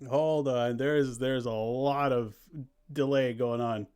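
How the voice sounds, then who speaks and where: A man's voice: mid-range voice, fairly even intonation, slow pace, darkish tone. A man hosting a casual game stream, chatting playfully with viewers and often interrupting himself. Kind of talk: casual live stream chat. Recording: clearly noisy background.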